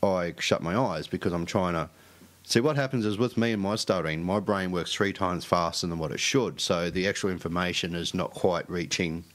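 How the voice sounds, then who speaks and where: A middle-aged man with a low voice tells a story with plenty of stuttered restarts. He talks loosely and casually, the way a co-host chats on his own show. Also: moderate pace, fairly bright tone.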